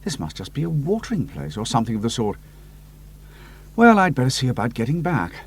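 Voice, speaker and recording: A man voicing a pilot hero who mutters quietly to himself, calm and resigned, over a music score. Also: mid-range voice, brisk, husky, heavily acted. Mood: musing.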